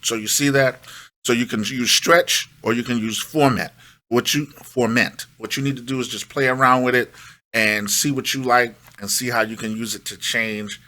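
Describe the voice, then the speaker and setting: A man's voice: mid-range voice, fairly even intonation, normal speed, pushed and bright. A middle-aged man giving a casual, step-by-step music production tutorial, emphatic and repeating his key phrases.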